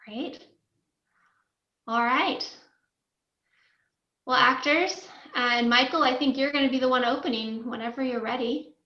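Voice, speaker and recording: Female event host, higher register, casual introductions, muffled audio with strong room echo. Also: unhurried, long pauses. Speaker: host of a screenplay table read.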